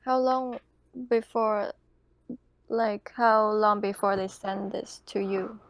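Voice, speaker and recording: A woman's voice: medium pitch, fairly even intonation, slow pace, no room sound. A woman playing a tabletop game, asking casual questions as part of the roleplay.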